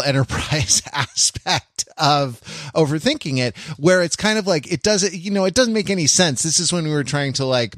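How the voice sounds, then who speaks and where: A middle-aged American man with a medium-pitched voice reflects casually on a podcast. He is introspective and halting, often cutting himself off to rephrase, and the sound is dry with no room echo. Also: a bit bright. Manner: halting, self-interrupting, introspective. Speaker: podcast co-host.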